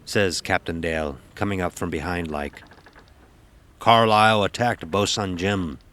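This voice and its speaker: Deep-voiced old-time sailor spinning a yarn. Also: male voice, a little slow, fairly bright tone, a little hiss or hum. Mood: commanding. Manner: salty archaic nautical storytelling. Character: gruff old-time sailing-ship officer recounting a sea tale. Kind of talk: dramatic first-person audio horror narration.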